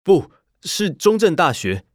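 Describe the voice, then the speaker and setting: A man's voice: high voice, sing-song, measured pace, dry recording, clean studio sound, voice pushed up, exaggerated voice. A man voicing an earnest, insecure junior male lawyer in an audiobook, blurting and defensive. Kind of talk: audiobook character dialogue.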